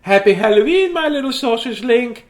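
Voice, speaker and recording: A man's voice: extremely high pitch, expressive, moderate pace, voice pushed up. A man voicing a doting, cheerful mother dog in a cooing, sing-song manner for a children's storybook.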